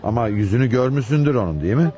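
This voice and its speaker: An actor playing a brisk but fatherly police chief inspector in a radio drama, questioning with authority and soothing. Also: medium pitch, expressive, heavy background noise, close to an everyday voice. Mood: coaxing, soothing. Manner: questioning, commanding, soothing with child. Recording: dull old radio drama recording.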